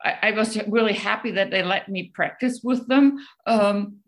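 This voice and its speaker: A woman speaking English with a German accent in a relaxed, unscripted talk. She pauses often and fills the gaps with ums, restarting her sentences as she searches for words. Her pitch sits in an ordinary middle range for a woman. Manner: hesitant, many ums and restarts. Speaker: guest speaker. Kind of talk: casual talk answering questions.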